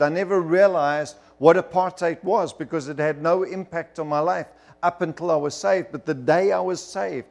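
Fervent middle-aged man preaching. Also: a little high. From Zimbabwe. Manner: emphatic storytelling, rising to bursts of fervor. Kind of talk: sermon testimony to a congregation.

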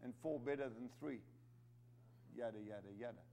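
A man's voice: mid-range voice, normal speed, warm tone, slight background noise. A man from Zimbabwe, raised in Africa, preaching an emphatic sermon with humorous asides. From Zimbabwe, raised in South Africa. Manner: emphatic, rising to stress key words, with humorous asides.

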